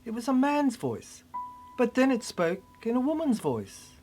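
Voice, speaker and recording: A narrator performing a theatrical spoken-word monologue in an emphatic way, with halting repeats. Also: medium pitch, huge pitch swings, darkish tone, faint music behind. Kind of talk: dramatic spoken-word narration of a cryptic science-fiction monologue.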